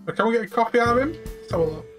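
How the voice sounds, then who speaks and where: A gamer giving casual, relaxed let's play commentary, thinking aloud while playing. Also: mid-range voice, racing, music in the background.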